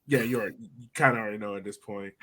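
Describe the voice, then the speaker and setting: A man's voice: on the low side, very fast, bright, forceful tone. A man hosting a casual sports podcast, chatting in a blunt, opinionated way. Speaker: podcast host.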